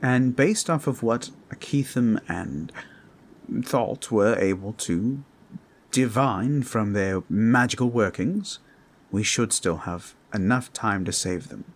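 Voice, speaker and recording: Man as a measured, scholarly fantasy adventurer. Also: lowish voice. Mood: reassuring. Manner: formal, deliberate, explanatory. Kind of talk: roleplay audio drama.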